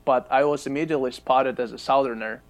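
A man from Kyrgyzstan, a native Kyrgyz speaker, co-hosting a podcast and explaining things at length with thoughtful pauses. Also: mid-range voice, fairly even intonation. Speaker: podcast co-host. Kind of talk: casual chat.

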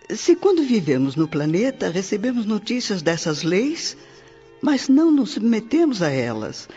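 Casting: solemn spirit teacher for radio drama, Brazilian accent, highish pitch, sweeping intonation, preacherly emphasis. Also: male voice, a little mellow, slightly rough, full character voice. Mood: earnest, solemn. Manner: didactic, emphatic, oratorical with rhetorical questions. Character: earnest male spirit mentor lecturing on divine laws. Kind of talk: theatrical Portuguese radio drama monologue. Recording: slightly dull radio production with music. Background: music.

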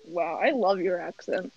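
A young woman hosting a casual call with friends, telling stories excitedly, swearing and giggling as she talks. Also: fairly low pitch, slightly rough, no room sound, dull audio. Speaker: host of a friends' chat. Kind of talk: casual chat. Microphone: home computer mic on a call.

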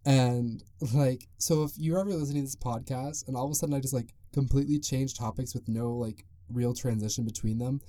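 Young adult male podcast host, deep mellow tone, loose rambling delivery, dry close mic. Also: fairly even intonation, fairly quick, few pauses, no room sound. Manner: rambling, loose and chatty, full of "like". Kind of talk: casual solo podcast monologue.

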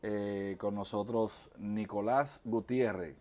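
A man's voice: on the low side, steady tone, slow, mellow. A man with a Dominican accent, hosting a conversational interview. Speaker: interview show host.

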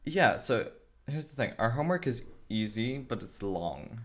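A man's voice: medium pitch, sing-song, normal speed. A teenage boy with an Irish accent chatting casually and explaining his schoolwork at length, with plenty of fillers. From Ireland. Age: teens. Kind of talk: casual video chat.

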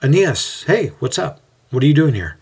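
A man plays an eager young man welcoming guests in an audiobook story. He talks in an animated, informal, friendly way, pitched a little up, with a slightly husky edge. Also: moderate pace, full character voice.

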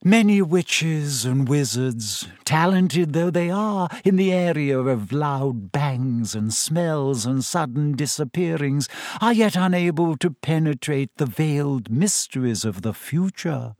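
A man putting on a soft misty old seer woman. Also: fairly high pitch, animated, dry recording, clean studio sound. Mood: mystical. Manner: slow, soft, drawn-out delivery.

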